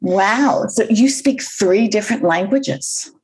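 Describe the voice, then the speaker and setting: A woman's voice: medium pitch, animated, slow pace, husky, low-quality recording. A woman from New York hosting an interview in a curious, chatty way. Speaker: host. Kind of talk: conversational interview.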